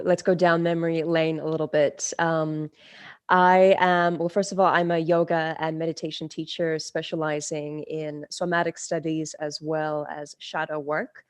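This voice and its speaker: A woman's voice: low-pitched, level tone, unhurried, a little mellow, smooth, no echo, studio quality. A woman from Turkey, a spiritual teacher, telling her life story in a podcast interview, reflective with many fillers and restarts.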